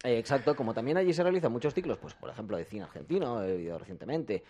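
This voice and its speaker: A man's voice: medium pitch, expressive, very fast, dark tone, muffled recording. A man hosting a film radio show, talking in a playful, teasing way and making jokey asides. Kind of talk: casual radio banter.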